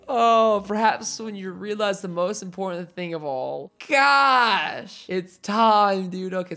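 A young man in his twenties giving casual, excitable reaction commentary on an anime episode, exclaiming, laughing and reading subtitles aloud, recorded on a headset in a small home room. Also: high-pitched.

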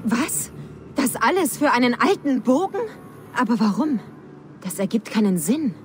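A woman's voice: a little high, big pitch swings, moderate pace, slight background noise. An actress playing an earnest, direct young village woman in a video game. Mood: bewildered, urgent.

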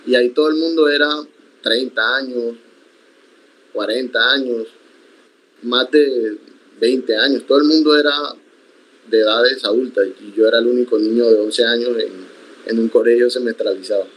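A young man whose native language is Iku speaks slowly and thoughtfully as an interview guest. He often stops and restarts his phrases while he explains things. The sound comes from a video call made at home. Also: mid-range voice, fairly even intonation, fairly bright tone, rough recording. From Sierra Nevada de Santa Marta, Colombia. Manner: measured, reflective, with frequent restarts. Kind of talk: conversational interview. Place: home room, indoors.

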